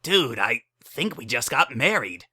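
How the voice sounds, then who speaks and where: A man voicing a dim, baffled young stallion tough guy with slow, hesitant dude talk in a dramatic reading. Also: mid-range voice, sing-song, sharp tone, husky, no room sound, clean studio sound, full character voice. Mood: awkward, stunned. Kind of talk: dramatic reading of fan fiction.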